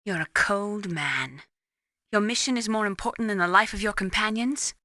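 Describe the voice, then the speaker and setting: A woman playing a composed, secretive scientist in a video game, explaining things in a cool, precise, measured way. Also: medium pitch, pushed and bright, no room sound, clean studio sound, close to an everyday voice. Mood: guarded, reproachful.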